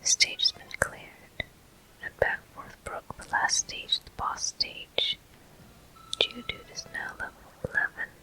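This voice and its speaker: A soft ASMR whisper guides the listener through a video game. The voice is breathy, very bright and sibilant, with almost no voiced tone. Phrases come short and deliberate, unhurried, as a host would narrate gameplay. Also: low-quality recording.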